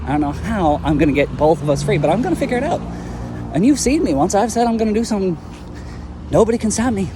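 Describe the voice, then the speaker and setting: Man acting a brash, animated hero. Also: high voice, fast, over music. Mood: earnest, determined. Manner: rambling, emphatic, casual slangy talk. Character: brash, stubborn, kind-hearted heroic man, earnest and cocky. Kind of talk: audio drama acting.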